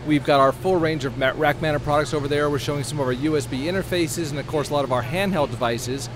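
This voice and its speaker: A man talks quickly and eagerly about products, in an upbeat, salesy way. He is a guest on a casual podcast recorded at a trade show booth. Setup noise and the bustle of the show hall are clearly heard behind him. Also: a little high.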